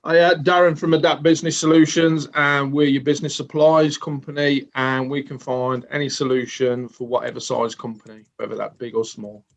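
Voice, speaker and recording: A man's voice: mid-range voice, little pitch movement, moderate pace, gravelly, few pauses. A man giving a short, casual introduction of his business on a video call. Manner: casual, informal. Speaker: meeting participant.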